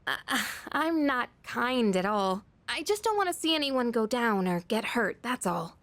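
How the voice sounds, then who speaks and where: A teenage schoolgirl from an anime-style video game. Her voice is fairly high and swings freely, casual and friendly with a streak of self-doubt. The recording is close and dry. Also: unhurried, dry recording, full character voice. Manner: casual, expressive.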